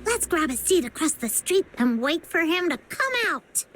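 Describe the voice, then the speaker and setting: A woman voicing a bubbly, scheming young girl sidekick in a video game, in an excitable, playful way. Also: high-pitched, moderate pace, a little hiss or hum. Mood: flustered, playful. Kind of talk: animated video game voice acting.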